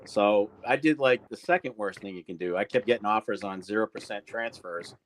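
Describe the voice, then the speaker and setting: A man's voice: lowish voice, brisk, slight background noise, poor audio. A man co-hosting a casual livestream, talking candidly and rambling a little while he greets viewers by name. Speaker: livestream co-host.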